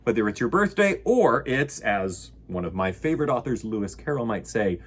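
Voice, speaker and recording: A man's voice: medium pitch, normal speed, slightly rough. A man hosting a children's storytime, reading and chatting expressively and speaking straight to young listeners. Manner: expressive, emphatic, addresses young listeners directly. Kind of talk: animated picture-book reading and chat to children.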